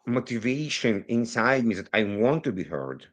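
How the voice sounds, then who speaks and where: A man who grew up in Israel, speaking English as a non-native speaker, telling his story as a guest on a remotely recorded interview with animated, digressive delivery. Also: mid-range voice. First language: not English. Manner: animated, rushes through some phrases, digressive. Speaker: guest, cybersecurity consultant. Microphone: remote recording, virtual call.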